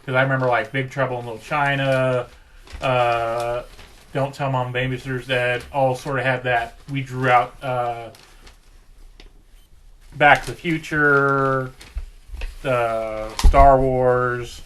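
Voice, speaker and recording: A man co-hosting a podcast, casually telling a story pitch to friends in loose everyday speech. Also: level tone, takes it slowly, long pauses, fairly bright tone, slight background noise. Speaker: podcast co-host.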